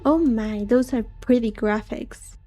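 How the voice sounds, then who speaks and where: Soft-spoken woman streamer, mellow tone. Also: fairly high pitch, unhurried, faint music behind. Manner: soft-spoken, rambling and chatty. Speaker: VTuber streamer. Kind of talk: casual chat while gaming.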